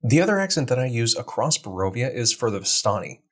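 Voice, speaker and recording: Slightly husky American man explaining. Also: medium pitch, sing-song, quick pace, a bit bright. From United States. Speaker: game master and channel host. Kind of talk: explanatory talk on tabletop roleplaying.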